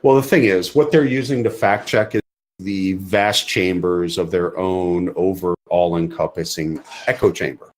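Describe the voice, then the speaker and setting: A man's voice: low voice, fairly even intonation, unhurried. Blunt, emphatic podcast co-host man. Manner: emphatic, repetitive, blunt opinions. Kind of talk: casual podcast chat.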